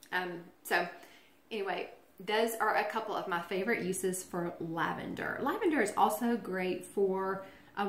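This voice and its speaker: A woman's voice: medium pitch, roomy sound, slight background noise. A woman giving a casual how-to talk in a chatty, friendly way, with lots of ums and restarts. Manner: chatty, friendly, filler-heavy explaining. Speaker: essential oils presenter.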